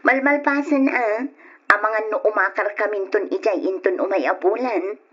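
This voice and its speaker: An actress playing an overseas worker's wife and dutiful daughter in an old-style radio drama, speaking with an Ilocano accent. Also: female voice, medium pitch, normal speed, slightly rough, natural voice. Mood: measured. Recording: dull lo-fi radio sound.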